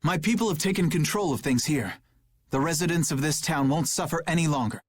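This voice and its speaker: A man's voice: fairly high pitch, fairly quick, slightly rough. A man playing an earnest, dutiful young knight officer in a video game, speaking formally. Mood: serious.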